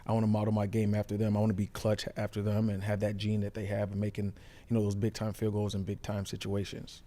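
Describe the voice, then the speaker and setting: A man's voice: lowish voice, monotone, fast, dark tone. An American man from Florida co-hosting a sports show, putting questions to a guest in casual chat. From Tampa, Florida.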